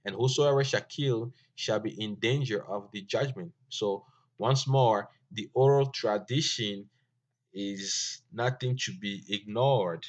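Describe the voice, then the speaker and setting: A man whose first language is Ewe, giving an informal language lesson in a slow, halting way with many fillers and restarts. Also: lowish voice. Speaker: teacher. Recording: dull lo-fi recording.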